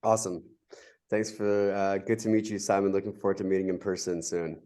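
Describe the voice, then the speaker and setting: A man's voice: sits low, flat delivery, fairly quick, dark tone. A man hosting a video call meeting, inviting people to introduce themselves. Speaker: meeting host. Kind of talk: casual video call moderation.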